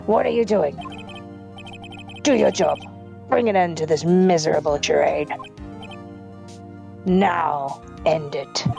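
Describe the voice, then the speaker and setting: Vengeful male prosecutor villain for courtroom game dub, played by a woman: deep, deliberate, menacing, faint music behind. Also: vocal fry, warm tone, exaggerated voice. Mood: bitter, commanding. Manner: theatrical, menacing, deliberate delivery. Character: vengeful, arrogant, aging male prosecutor villain confessing murder.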